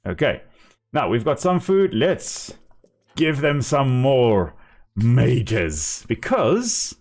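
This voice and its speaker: A man's voice: medium pitch, sing-song, extremely slow, darkish tone. A man with a South African accent, a native Afrikaans speaker, chatting casually and explaining as he plays a game on a live stream.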